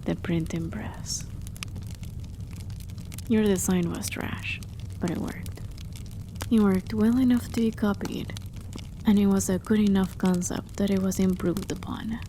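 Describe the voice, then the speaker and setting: A woman playing a haughty, jaded immortal warrior in an ASMR roleplay, softly spoken and often near a whisper, with sardonic, conversational storytelling. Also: mid-range voice, steady tone, measured pace, pauses often, slight background noise, close to an everyday voice. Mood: sardonic, grudging. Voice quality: soft, breathy, often dropping to a near whisper. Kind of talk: ASMR roleplay, dramatic monologue.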